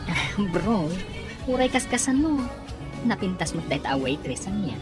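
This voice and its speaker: Woman as a male buddy; persuasive, lively, lowish voice. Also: a little slow. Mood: coaxing. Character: male buddy, scheming and carefree. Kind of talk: amateur audio drama acting. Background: faint music.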